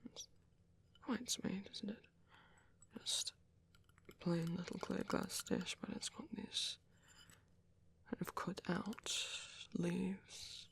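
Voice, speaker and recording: A man voicing a caring, gentle vampire priest in a whispery, breathy ASMR roleplay, speaking hesitantly and reassuringly. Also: sits high, steady tone, slow, pauses often, full character voice. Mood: soft, caring. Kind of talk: soft-spoken ASMR roleplay.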